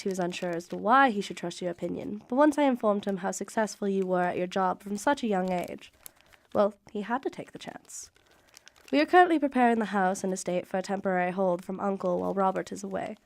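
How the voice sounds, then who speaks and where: An actress playing an affectionate young married Victorian mother reading her letters in a radio drama, with genteel, expressive period diction. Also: medium pitch, dry recording, natural voice.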